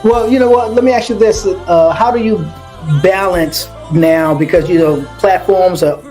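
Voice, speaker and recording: A woman hosting a casual interview, effusive and halting, repeating words as she goes and laughing often. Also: on the low side, dark tone, soft background music. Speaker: interview host.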